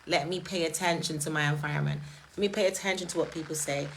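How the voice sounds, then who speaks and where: Emphatic British woman, slightly low voice. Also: steady tone, creaky, slight background noise, poor audio. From United Kingdom. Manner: emphatic, with rhetorical questions and quick laughs. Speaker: live-stream host. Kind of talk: casual live-stream talk, answering chat comments.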